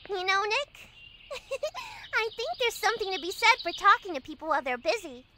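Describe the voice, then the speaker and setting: A woman plays a perky teenage girl in a game-based audio drama. Her voice is very high and lilting, with lively pitch movement. She sounds bubbly and full of questions. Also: a little fast, slight background noise, exaggerated voice. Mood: cheerful. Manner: bubbly, inquisitive. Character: perky, curious teenage girl, a lawyer's assistant. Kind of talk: fan audio drama acting of a video game story.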